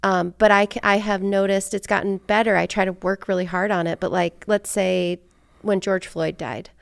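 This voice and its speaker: A woman's voice: medium pitch, steady tone, normal speed, gravelly, clear, resonant tone, dry recording, studio quality. A woman hosting a podcast, talking casually and candidly in a rambling way sprinkled with "like". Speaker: podcast host. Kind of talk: casual personal chat.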